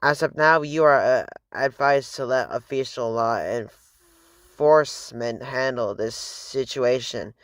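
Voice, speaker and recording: A man's voice: medium pitch, slow pace, a bit bright, no room sound. A man playing a news anchor, reading a scripted emergency bulletin formally, with small slips. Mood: serious. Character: grave male news anchor, formal, measured. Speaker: news broadcaster.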